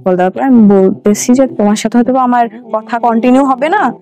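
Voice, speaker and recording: A woman's voice: fairly high pitch, brisk, mellow. A young adult woman with a Bangladeshi accent, a guest recounting her own life in a conversational way. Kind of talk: conversational personal storytelling.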